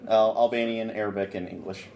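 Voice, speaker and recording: A man's voice: fairly low pitch, fairly even intonation, brisk, a little mellow, a little hiss or hum. A man playing his character in a tabletop game in casual chat. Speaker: player.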